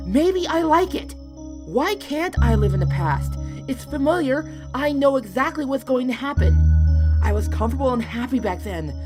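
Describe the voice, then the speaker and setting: A woman playing a grieving, despairing widow in an audio drama, stubbornly and emotionally arguing with her own mind. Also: high-pitched. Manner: defiant, pleading, emotional. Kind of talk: amateur audio drama acting.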